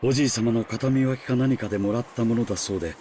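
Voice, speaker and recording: A man's voice: medium pitch, racing, warm tone, slight background noise, natural voice. A man playing a deferential young servant in a game, polite and hesitant. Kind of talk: Japanese game voice acting.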